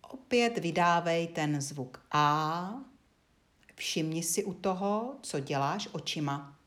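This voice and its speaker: A woman with a fairly low voice leads a guided movement lesson. She speaks as an instructor, giving short directions and leaving frequent pauses between them. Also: animated, fairly bright tone. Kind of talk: guided Feldenkrais body-awareness lesson, spoken instructions.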